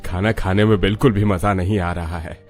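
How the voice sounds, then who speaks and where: A man's voice: low-pitched, steady tone, a little fast, faint music behind, close to an everyday voice. A man playing an older, grumbling but resigned father-in-law in a moral story. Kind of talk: acted dialogue in a moral story.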